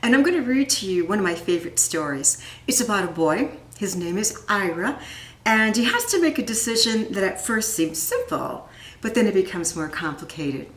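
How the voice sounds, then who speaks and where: A woman reading a children's story aloud, speaking deliberately and pausing to put questions to her listeners. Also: mid-range voice, expressive, a bit bright, recorded from a distance. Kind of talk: expressive storybook read-aloud with asides. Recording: roomy, echoing room sound.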